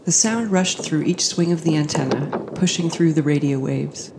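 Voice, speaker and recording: A woman's voice: low voice, normal speed. A woman reading descriptive scripted narration. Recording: faint background noise.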